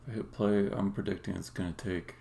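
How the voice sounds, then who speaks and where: A man doing casual live-coding commentary as a game developer, muttering haltingly to himself as he thinks aloud, with keyboard clicks behind him. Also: deep voice, fairly even intonation, gravelly, warm tone. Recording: faint background noise, keyboard clicking.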